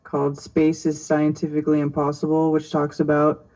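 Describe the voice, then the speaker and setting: A man who narrates videos for a channel, plugging his videos in a flat, low-key way. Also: moderate pace. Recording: dull lo-fi recording, speaker far from the mic.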